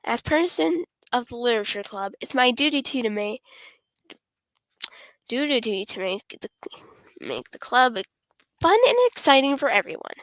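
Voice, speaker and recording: A woman's voice: fairly high pitch, animated, normal speed, long pauses, fairly bright tone, no room sound. A woman voicing a confident, friendly teenage girl club president from a visual novel, encouraging and reassuring. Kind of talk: visual novel character read on stream.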